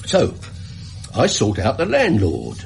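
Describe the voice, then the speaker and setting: A man's voice: lowish voice, huge pitch swings, slow pace, gravelly, background noise, full character voice. An actor playing an earnest, plain-spoken Victorian English shopkeeper in a British radio drama, indignant as he labours through his story. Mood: aggrieved.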